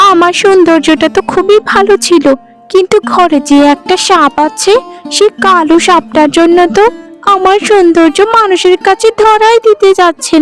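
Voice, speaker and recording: A woman voicing a vain, spoiled young stepsister in a cartoon folk tale, gloating and affected. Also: very high-pitched. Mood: spiteful, vain. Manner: gloating, affected, self-admiring. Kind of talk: melodramatic cartoon voice acting.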